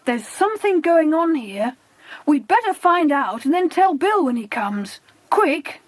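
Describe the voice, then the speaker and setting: A woman plays an earnest child adventurer in a storybook. The voice is high and swoops expressively, recorded dry and close. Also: big pitch swings, measured pace, dry recording. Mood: eager, urgent. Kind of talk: audiobook character dialogue.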